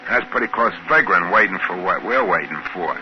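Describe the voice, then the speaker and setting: An actor playing a plain, folksy trading-post worker who also narrates a 1950s science fiction radio drama. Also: male voice, deep voice, lively intonation, a little fast. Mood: wry storytelling. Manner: folksy, colloquial. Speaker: narrator and character. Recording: muffled old radio broadcast with music.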